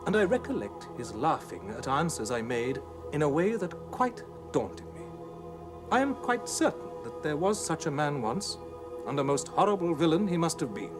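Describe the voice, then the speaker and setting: A male narrator plays a genteel husband from a ghost story, troubled and earnest. His speech is precise and discursive, with a highish, very varied pitch. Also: big pitch swings, moderate pace, over music. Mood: anxious, indignant. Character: troubled genteel English husband recounting nightmares.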